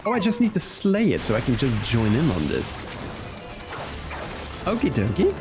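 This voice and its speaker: A man streams a game with music clearly playing behind him. He talks in a casual, friendly way straight to his chat and thinks aloud as he plays. His voice sits at an ordinary male pitch. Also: wildly swooping pitch, normal speed. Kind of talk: casual chat and gameplay commentary for viewers.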